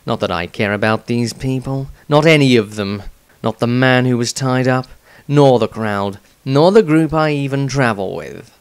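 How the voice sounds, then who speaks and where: A man voicing a cold, misanthropic fighter with a deliberate, contemptuous manner in a fantasy diary reading. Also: medium pitch, smooth, dry recording, close to an everyday voice. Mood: indifferent, scornful. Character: cold, misanthropic male fighter, menacing and scornful.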